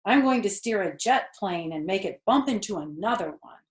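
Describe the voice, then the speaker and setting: A woman's voice: medium pitch, normal speed, lightly acted. Woman reading as an eager young boy, echoey. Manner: excited, wheedling. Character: eager, pleading young farm boy in a storybook.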